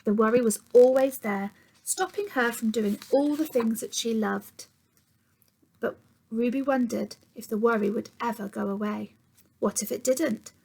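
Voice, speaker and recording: A woman reading a picture book aloud like a teacher to young children, expressive, sometimes dropping to hushed tones and putting questions to her listeners. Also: a little high, a little slow, vocal fry, breathy edge, low-quality recording. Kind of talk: children's storytime read-aloud.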